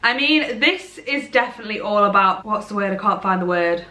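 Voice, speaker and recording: A woman's voice: medium pitch, lively intonation, a little fast, sharp tone, reverberant. A young woman in her twenties from Derbyshire with a Midlands accent, chatting casually and rambling to a camera at home. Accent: English Midlands. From Derbyshire, England. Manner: chatty, rambling, self-deprecating. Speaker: vlogger. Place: bright room at home, indoors.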